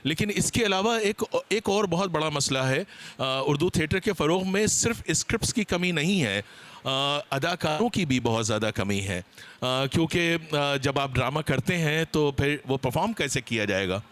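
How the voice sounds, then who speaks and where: A man speaking as a panelist at a seminar, explaining his points in a deliberate, hesitant way. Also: on the high side, expressive, a bit bright.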